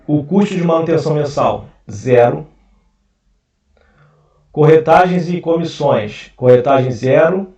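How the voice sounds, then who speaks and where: A man's voice: on the high side, lively intonation, a little slow, long pauses, mellow. A Brazilian man with a Carioca accent, hosting a casual investing video, talking with frequent fillers and restarts. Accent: Carioca Portuguese. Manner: hesitant, many fillers and restarts. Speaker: investment channel host. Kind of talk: casual screen-share explainer. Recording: roomy, distant, dull mic.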